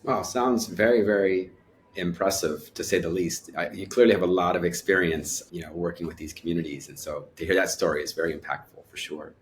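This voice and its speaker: A man hosting an interview podcast, asking questions in a friendly, measured way. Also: deep voice, fairly even intonation, a little hiss or hum. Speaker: podcast host.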